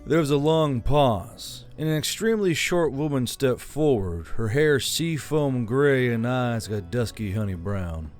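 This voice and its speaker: A man's voice: medium pitch, expressive, measured pace, hardly any pauses, darkish tone, soft background music. A man reading scripted fantasy fiction as an audiobook narrator.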